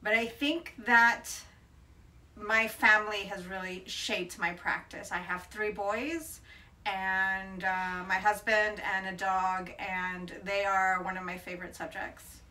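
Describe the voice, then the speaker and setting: Woman in her 40s from colombia, chatting in an interview, lots of little pauses, bright voice a bit off mic. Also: medium pitch, steady tone, distant mic. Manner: thoughtful, with many hesitations. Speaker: interviewed photographer. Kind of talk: casual interview answers. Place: bright room by a window, indoors.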